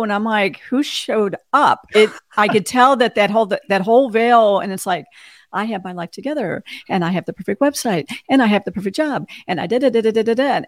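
A woman's voice: medium pitch, no room sound, studio quality. A middle-aged woman, a podcast host, talking earnestly in a casual interview, often restarting her sentences. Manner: repetitive restarts, earnest reflective talk.

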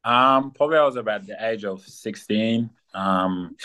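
Young male guest from South Sudan, very low voice, casual podcast interview. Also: moderate pace. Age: young adult. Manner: relaxed, many ums and fillers. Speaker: guest athlete.

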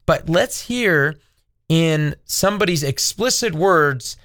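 A man co-hosting a casual discussion, speaking earnestly and slowing down to make his points. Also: a little high, animated, fairly bright tone, dry recording, studio quality. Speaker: co-host.

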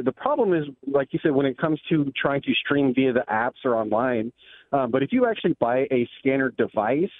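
Tinny phone call from an American man. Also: medium pitch. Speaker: radio phone-in caller. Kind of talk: casual explanation. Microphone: telephone. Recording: tinny, band-limited phone line.

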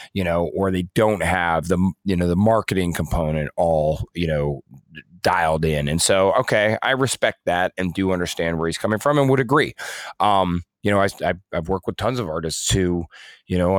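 A man's voice: deep voice, dry recording, clean studio sound. A man co-hosting a podcast, talking casually and reflectively as he works through his points. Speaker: podcast co-host. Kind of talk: casual discussion.

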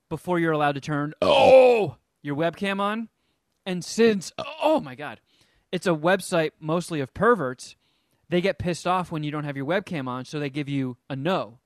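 A man's voice: on the high side, dry recording, clean studio sound. A man hosting a podcast, casually rambling through a story with swearing. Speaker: podcast host.

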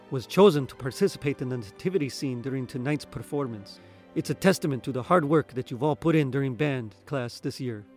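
A man's voice: a little high, natural voice. A man acts out a middle-aged male school principal from a storybook. He sounds weary yet proud, addressing people formally and matter-of-factly over faint music.

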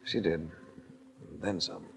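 An actor with an American accent playing a suave, hard-boiled investigator in a 1940s radio drama, speaking and narrating in a smooth, dry way. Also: male voice, low-pitched, unhurried, fairly bright tone, slight background noise, poor audio. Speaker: lead actor and narrator of a detective series. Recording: vintage 1940s radio recording.

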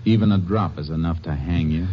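A deep, steady lawman. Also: brisk. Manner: calm, firm, dryly sardonic. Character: steady, dry-witted male Texas Ranger. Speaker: narrator and lead character. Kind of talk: 1950s radio drama acting. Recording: muffled old radio broadcast.

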